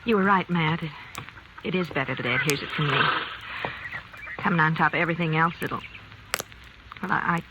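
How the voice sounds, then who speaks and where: An actress playing a worried frontier farm wife in a 1950s radio drama, earnest and halting. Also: female voice, sits low, natural voice. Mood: anxious. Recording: muffled old broadcast with loud hiss.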